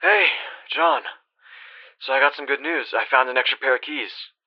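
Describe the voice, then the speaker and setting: An actor playing a guilt-ridden, trapped dead man in a horror game, talking in a halting, weary, confiding way with swearing, like a desperate older brother. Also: medium pitch, no room sound, lo-fi sound, close to an everyday voice. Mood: tense, urgent. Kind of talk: horror game phone-call voice acting.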